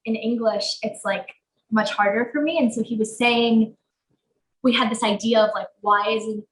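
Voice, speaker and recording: A woman's voice: a little high, steady tone, normal speed, a little hiss or hum. A young adult woman actor whose first language is Portuguese, talking casually in an interview with many fillers. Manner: chatty, lots of fillers like "um" and "like". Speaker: actor guest. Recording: roomy echo.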